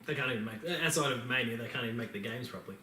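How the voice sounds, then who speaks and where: A man's voice: mid-range voice, very fast, bright, forceful tone, recorded from a distance. Man in an echoey room. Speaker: podcast co-host. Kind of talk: casual chat. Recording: roomy echo, off-mic, faint noise.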